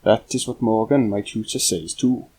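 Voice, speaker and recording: A man's voice: fairly low pitch, normal speed, warm tone, a little hiss or hum, lightly acted. A man reading the part of a proud, cheerful young Welsh boy with formal storybook phrasing.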